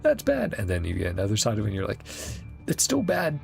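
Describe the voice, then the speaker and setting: A woman's voice: rumbling low, wildly swooping pitch, fast talker, creaky, a little mellow. A woman giving casual reaction commentary over a video game, musing aloud and trailing off mid-thought, speaking into a close mic. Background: game music.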